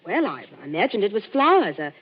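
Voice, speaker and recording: A woman's voice: mid-range voice, big pitch swings, quick pace, husky. An actress playing a nervous young woman witness in a 1940s radio drama, anxious and earnest. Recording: muffled radio broadcast in an echoing studio.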